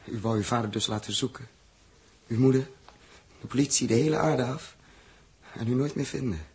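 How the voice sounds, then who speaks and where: A man acting a grave, reluctant spaceship captain in 1960s radio drama, terse, halting and sombre. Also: mid-range voice, darkish tone, breathy edge. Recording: noisy old radio recording.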